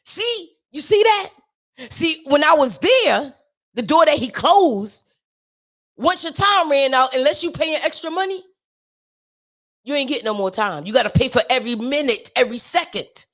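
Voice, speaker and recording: A woman with a naturally raspy, high-pitched voice talks to her livestream viewers in a fervent, sermon-like way. She speaks straight to them, driving each point home by repeating it. Her rough tone stays in every line. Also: expressive, normal speed. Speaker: singer sharing a testimony with viewers. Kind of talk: preachy motivational livestream talk.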